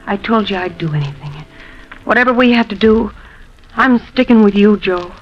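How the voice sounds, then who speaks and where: A woman's voice: mid-range voice, animated, moderate pace, close to an everyday voice. An actress playing a devoted, anxious young American girlfriend in a 1950s radio drama, emotional and pleading. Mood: determined, devoted. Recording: dull old radio recording with music.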